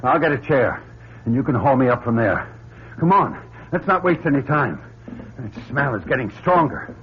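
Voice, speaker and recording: A muffled radio drama recording with a slight hum carries a man acting as a determined leader. His voice moves widely in pitch as he gives urgent, step-by-step orders to trapped companions. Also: animated, normal speed, close to an everyday voice. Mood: urgent, commanding. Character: resolute man leading trapped companions through dark escape ducts.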